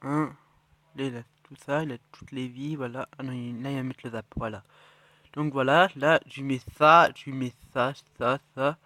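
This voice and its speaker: A man with a cold giving rambling, excitable commentary on his mobile game matches and chest openings. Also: mid-range voice, normal speed, dry recording. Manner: rambling, excitable, self-correcting, drops to mutters. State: has a cold. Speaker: mobile game channel host. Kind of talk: casual gameplay commentary.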